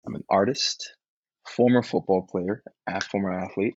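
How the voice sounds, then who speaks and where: A man from Liberia, a podcast guest, talking in an earnest, measured way about grief and healing. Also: low-pitched, steady tone, slight background noise. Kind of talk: reflective interview talk. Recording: dull lo-fi call audio.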